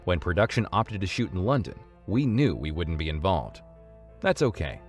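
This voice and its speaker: A man's voice: deep voice, big pitch swings, moderate pace. A man reading scripted documentary narration with background music. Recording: music under the voice.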